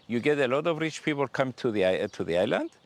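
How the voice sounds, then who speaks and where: A man's voice: medium pitch, sing-song, slight background noise. Hesitant man in an interview. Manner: halting, hesitant. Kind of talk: unscripted interview answer.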